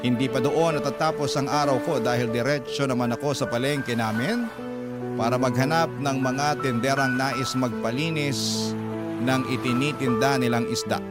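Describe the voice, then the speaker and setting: Man as earnest narrator over loud music. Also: mid-range voice, fairly even intonation, moderate pace, close to an everyday voice. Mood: solemn, earnest. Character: hardworking, dutiful young provider telling a life story. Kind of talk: scripted first-person radio drama narration. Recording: loud music bed behind the voice.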